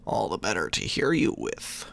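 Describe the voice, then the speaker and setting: A man voicing a sly, hungry big bad wolf in a bedtime story, falsely sweet, shifting between growl, squeak and croak. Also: huge pitch swings, moderate pace, fairly bright tone, heavily acted. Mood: hungry menace. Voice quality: deep booming growl, at times squeaky or croaky.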